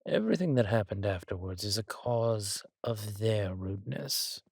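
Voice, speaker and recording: A man playing an arrogant, petulant villain king in an audio drama, with a drawling, theatrical, sardonic delivery and a breathy, husky voice. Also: fairly low pitch, expressive, moderate pace, darkish tone, no room sound, clean studio sound, heavily acted. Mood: defensive, sardonic. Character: arrogant, petulant villain king, cruel yet doting on his consort.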